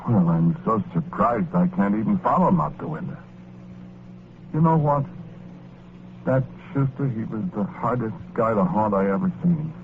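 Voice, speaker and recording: A man's voice: fairly low pitch, expressive, moderate pace, background noise. An actor playing a meek, wistful working-class American ghost, telling his story in rambling slang in 1940s radio drama style. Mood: earnest, puzzled. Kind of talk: 1940s radio drama first-person narration. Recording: muffled old radio recording with music. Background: music.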